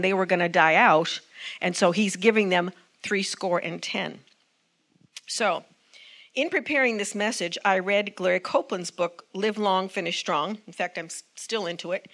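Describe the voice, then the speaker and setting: A woman's voice: mid-range voice, fairly bright tone. Woman preaching an earnest sermon. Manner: earnest, asks the congregation for responses. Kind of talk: sermon teaching.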